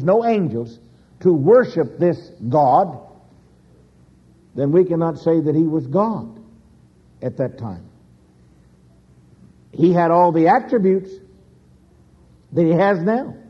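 A man preaching a sermon slowly and emphatically, with dramatic pauses and sudden rises in pitch. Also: slightly rough. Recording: dull lo-fi recording.

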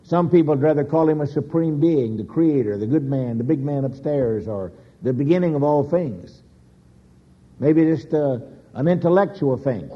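A man preaching a sermon slowly and emphatically, with dramatic pauses and sudden rises in pitch. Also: animated, slightly rough. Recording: dull lo-fi recording.

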